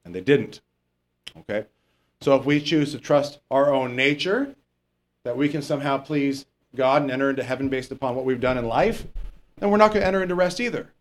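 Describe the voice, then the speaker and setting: A man with a lower voice, a pastor teaching verse by verse in a conversational way and reading scripture slowly and with emphasis. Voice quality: lower voice, not strong. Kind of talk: verse-by-verse Bible teaching sermon.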